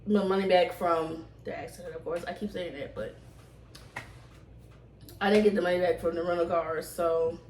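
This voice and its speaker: A woman chatting casually to camera in storytime style, rambling and slangy, with emphatic repeats. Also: on the low side. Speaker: vlogger. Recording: roomy echo, distant microphone.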